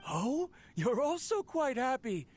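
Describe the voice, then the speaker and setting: A man's voice: very high voice, big pitch swings, slow pace, over loud music, exaggerated voice. An actor playing an ambitious, lonely male researcher who talks theatrically and fondly to his machine in a video game. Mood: grand, excited. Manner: theatrical, talking tenderly to his creation. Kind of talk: dramatic video game voice acting, monologue. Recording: faint noise, like an old tape recording.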